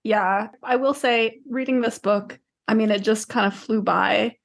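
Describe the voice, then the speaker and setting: A woman co-hosting a book podcast, talking casually in a measured, explanatory way. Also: on the high side, steady tone, creaky. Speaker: podcast co-host discussing books.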